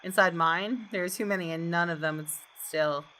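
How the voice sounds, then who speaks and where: A woman's voice: fairly low pitch, moderate pace, sharp tone, slight background noise. A woman hosting a gaming video, reading visual novel narration aloud with dramatic expression.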